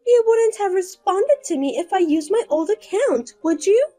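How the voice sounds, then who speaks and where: A boastful, scheming younger sister, as a woman puts her on speaks in a high, lilting voice that swoops up and down. She teases and gloats in a sing-song way. Soft music plays underneath. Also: animated, normal speed. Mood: taunting.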